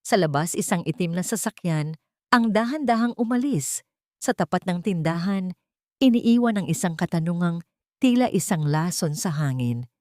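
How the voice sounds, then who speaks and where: A woman reading a scripted dramatic story as narrator, in a measured, solemn storytelling manner. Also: fairly low pitch, lively intonation, a little mellow, no echo, clean studio sound.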